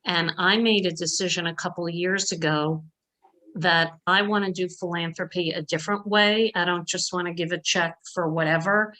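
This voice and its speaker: An older woman with a slightly low-pitched voice speaks informally in an online meeting. She is plainspoken and emphatic, in a conversational way. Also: fairly even intonation, moderate pace, bright, forceful tone. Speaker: donor asking questions at an online meeting. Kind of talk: informal discussion at a virtual meeting.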